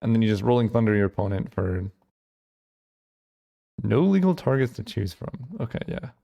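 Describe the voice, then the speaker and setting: A man hosting his channel, thinking aloud in casual gameplay commentary with lots of fillers, his voice getting hoarse. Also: lowish voice, sing-song, moderate pace, mellow. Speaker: channel host.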